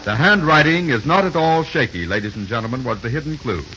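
A man's voice: mid-range voice, expressive, fast, bright, forceful tone. A man doing scripted 1930s radio announcing in a dramatic, formal, declamatory manner. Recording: hissy, muffled 1930s radio recording.

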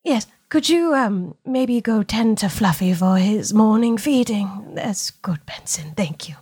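A woman's voice: medium pitch, animated, measured pace, a little mellow, breathy edge, no room sound, studio quality. A woman voicing a genteel estate owner who gives servants polite, languid, hesitant orders in a tabletop game. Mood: polite, restrained.

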